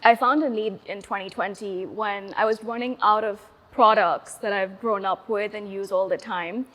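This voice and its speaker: A woman's voice: medium pitch, moderate pace. Woman guest in a reverberant hall. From Sri Lanka. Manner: relaxed, chatty, many fillers. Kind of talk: casual interview.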